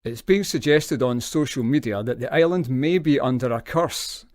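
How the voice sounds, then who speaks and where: A man acts a radio newsreader in an audio drama. His mid-range voice is close and dry. He delivers scripted news and questions in a serious, measured way. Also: mid-range voice, no echo, close to an everyday voice. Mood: formal.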